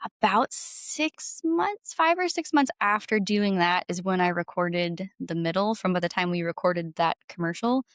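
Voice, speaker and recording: A woman's voice: on the low side, animated, measured pace, no room sound, studio quality. A woman in her twenties chatting casually as an interview guest, bubbly and gushing, peppering her talk with "like". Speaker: guest singer-songwriter and violinist.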